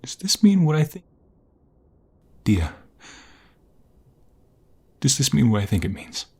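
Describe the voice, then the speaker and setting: A man playing a tender, excitable husband and father-to-be in an intimate ASMR audio roleplay, halting and emotional, often dropping toward a whisper. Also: medium pitch, breathy edge, heavily acted. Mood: overjoyed, amazed. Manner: halting, emotional, repeats himself. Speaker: voice-over roleplay performer.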